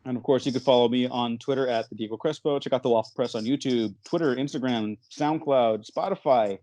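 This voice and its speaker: A man hosting a podcast in casual chat, animated and playfully dramatic, often interrupting himself as he moderates a debate. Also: medium pitch, brisk, dry recording. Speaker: podcast host.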